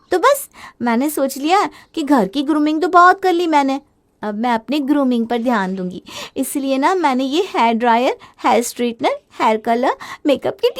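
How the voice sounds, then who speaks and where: A woman's voice: high voice, a bit bright. A woman voicing a young wife who spends too much, talking in a whiny, wheedling way in a storybook drama. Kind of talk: storybook voice acting.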